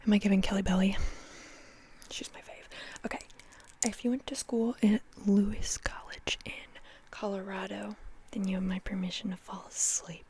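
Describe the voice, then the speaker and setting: A woman's voice: mid-range voice, little pitch movement, normal speed, darkish tone, slight background noise. A young woman whispering close to the mic in a playful, chatty ASMR sleep talk, often repeating words. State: dry mouth. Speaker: ASMR host.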